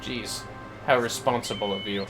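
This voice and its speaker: A man's voice: lowish voice, fairly even intonation, moderate pace, over music, low-quality recording. A man streaming a video game, chatting casually and muttering to himself as he plays. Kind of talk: casual livestream chat while gaming.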